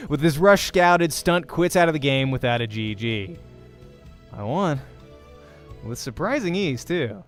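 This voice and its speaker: A man's voice: a little high, expressive, unhurried, pauses often. Man narrating a visual novel, music behind. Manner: acts out dialogue while narrating. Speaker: let's play host and voice actor. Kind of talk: expressive visual novel narration read aloud. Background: game music.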